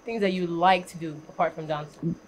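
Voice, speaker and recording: A woman's voice: on the low side, steady tone, fairly quick, rough recording. A young woman hosting a casual interview. She asks questions playfully, teases her guests, and laughs often. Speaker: host. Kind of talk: interview host. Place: decorated studio set.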